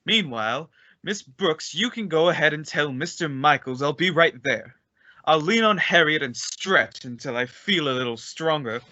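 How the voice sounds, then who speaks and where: A man acts out a blustering male school principal in an old-time radio comedy. His voice is piercingly bright and high, swooping about as he stammers with pompous indignation. The recording is dull and lo-fi. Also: lively intonation, moderate pace. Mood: dizzy, flustered.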